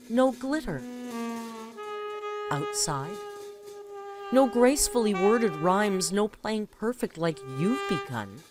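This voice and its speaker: Woman putting on an overwrought, wounded spoken-word poet. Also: mid-range voice. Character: overwrought wounded female poet reciting her own bitter verse. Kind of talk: melodramatic spoken-word poetry.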